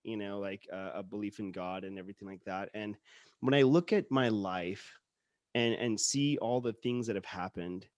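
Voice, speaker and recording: A man's voice: lowish voice, moderate pace, no echo, lo-fi sound. A man who grew up in Utah, hosting a casual interview podcast, telling stories earnestly and with enthusiasm, with frequent "like" and restarts. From Utah, born in India. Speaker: podcast host.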